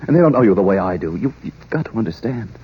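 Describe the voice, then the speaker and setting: An evasive young suitor with a deep voice. He talks fast in halting, insistent bursts, in 1940s radio style. The old recording is dull. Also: expressive, breathy edge. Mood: guilty, evasive. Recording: muffled vintage radio recording, faint noise.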